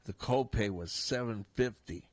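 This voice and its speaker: Congested older man with a low voice. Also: normal speed, a little mellow, no echo. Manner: chuckling, rambling with fillers. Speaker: radio host. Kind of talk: casual radio sports chat. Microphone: studio broadcast mic.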